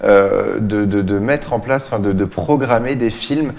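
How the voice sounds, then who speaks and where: A man hosting a radio interview, putting prepared questions with somewhat halting, repetitive phrasing. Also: lowish voice, creaky, darkish tone.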